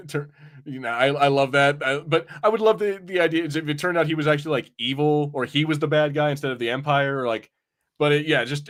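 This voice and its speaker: A man hosting a podcast, talking casually through a film and TV review in informal, halting phrases. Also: medium pitch, a bit bright. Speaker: podcast host.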